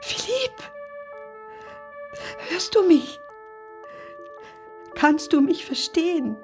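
A woman's voice: extremely high pitch, sing-song, drawn-out words, soft background music, heavily acted. An actress playing a distraught woman calling urgently to an injured friend in an audio drama. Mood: anguished, urgent.

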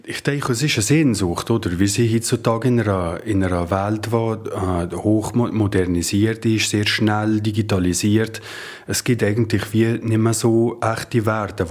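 A man's voice: fairly low pitch, a little mellow. A man with a Valais Swiss German accent, talking spontaneously in an interview. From Upper Valais, Switzerland. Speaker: interviewee, band singer.

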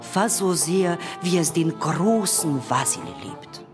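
A woman playing a morbid circus crocodile tamer in a radio play, telling things dramatically with dark deadpan asides. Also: fairly low pitch, takes it slowly, music in the background. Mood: dramatic, mysterious. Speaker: actress.

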